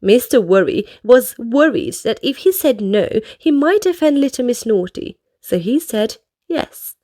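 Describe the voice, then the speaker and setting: A woman reading a picture book aloud to young children in an animated, expressive storytelling style. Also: fairly high pitch, a little slow. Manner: animated, addresses young listeners directly.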